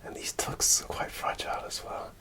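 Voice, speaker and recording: A man whispering an ASMR show-and-tell in a soft, unvoiced whisper, hesitant and full of small fillers, partly from inside a costume helmet. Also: normal speed. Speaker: ASMR creator.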